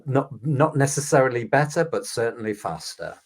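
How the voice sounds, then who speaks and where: A man hosts a relaxed discussion in a mid-pitched voice. He is polite and measured, and he eases into his questions with small hesitant fillers. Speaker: host, company head. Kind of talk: casual discussion.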